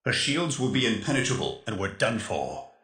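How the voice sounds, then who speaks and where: Animated, earnest rebel tech expert. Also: male voice, medium pitch, moderate pace, reverberant. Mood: urgent briefing. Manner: precise, urgent, clipped tactical instructions. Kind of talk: dramatic game mission dialogue.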